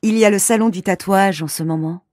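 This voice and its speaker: A woman's voice: medium pitch, a little fast, a little mellow, dry recording, close to an everyday voice. A woman playing a wife in a scripted textbook dialogue, suggesting outings in a light, conversational tone. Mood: chatty. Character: woman named Mariette planning an outing with her partner.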